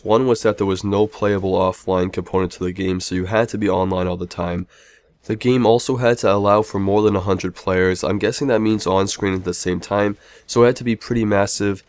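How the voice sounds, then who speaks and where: A man's voice: on the low side, a little mellow, smooth, no room sound. A man hosting a gaming video in a casual narration, sounding a little sick. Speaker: video host.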